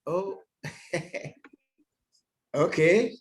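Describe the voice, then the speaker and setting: A man's voice: high voice, expressive, breathy edge. A man who works as a linguist introduces himself on a video call, stumbling over words and restarting often. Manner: halting, with many restarts. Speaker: linguist and lecturer. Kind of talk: self-introduction at an online conference.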